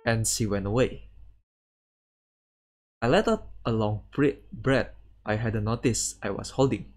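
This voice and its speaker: A man streaming a game, reading the story text aloud in a halting way and adding his own commentary. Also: lowish voice, darkish tone. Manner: halting, stumbles and repeats words while reading. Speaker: streamer playing a visual novel.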